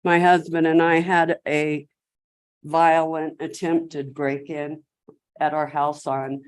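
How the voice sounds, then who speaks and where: A woman resident addressing a city council, measured and deliberate. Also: sits low, flat delivery, clear, resonant tone. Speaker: resident in public comment. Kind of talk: public comment statement.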